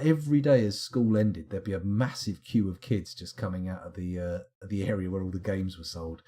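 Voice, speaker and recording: A man's voice: on the low side, warm tone. A man, chatting and rambling while he streams a game late at night and answers his chat, sounding tired. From England. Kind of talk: casual live-stream chat.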